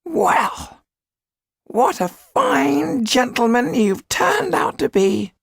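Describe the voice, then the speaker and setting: Little old man, storybook character, heavily gravelly, slow, emphatic, affectionate. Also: very animated, fairly bright tone, no echo, studio quality, full character voice. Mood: delighted, affectionate. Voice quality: high, squeaky, creaky and husky. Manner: emphatic, fussing, exclamatory. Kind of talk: audiobook character acting.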